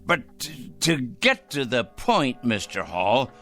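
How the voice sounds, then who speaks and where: An actor playing a fanatical, self-righteous crank in a radio drama, fussy and excitable, repeating himself emphatically. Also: male voice, medium pitch, slow pace, soft background music. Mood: gloating, fervent. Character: fanatical, self-righteous male crank obsessed with punishing evil.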